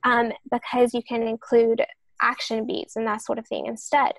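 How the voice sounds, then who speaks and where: A woman's voice: a little high, completely flat delivery, moderate pace, vocal fry, no room sound, rough recording. A woman explaining the craft of writing as a podcast panelist, in an earnest, explanatory way.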